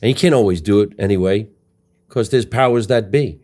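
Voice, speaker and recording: A man with a very low-pitched voice hosts a casual podcast. He is a stand-up comedian who talks about his work in a blunt, matter-of-fact manner. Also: fairly quick, mellow.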